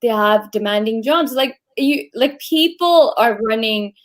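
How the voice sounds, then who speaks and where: A woman with a fairly high voice co-hosts a casual panel chat. She asks the others questions and fills her speech with "like" and "um" as she works through her thoughts. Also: expressive, unhurried. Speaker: co-host.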